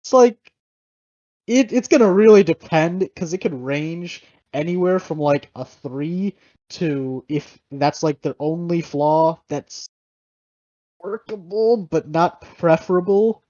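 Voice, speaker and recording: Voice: mid-range voice, takes it slowly, warm tone. A young adult podcast co-host chatting casually in a rambling, hedging way. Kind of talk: casual chat. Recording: dull lo-fi audio.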